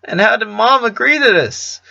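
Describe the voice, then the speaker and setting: Man reading as bright-voiced, curious young boy. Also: high voice, expressive, normal speed, gravelly, dry recording, dull audio, voice pushed up, full character voice. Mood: playfully doubtful. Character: curious, skeptical eleven-year-old boy, the only son. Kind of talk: cartoon fan fiction reading.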